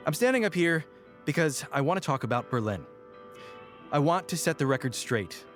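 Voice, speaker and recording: An actor playing a nervous, earnest office worker giving a speech in an audio drama, theatrical and self-coaching. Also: male voice, on the high side, lively intonation, normal speed, fairly bright tone, faint music behind.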